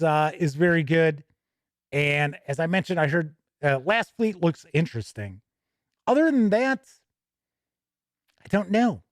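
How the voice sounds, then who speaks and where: A man's voice: sits high, dry recording, clean studio sound. A man hosting a tabletop gaming news stream, reading items aloud casually and adding chatty, informal asides.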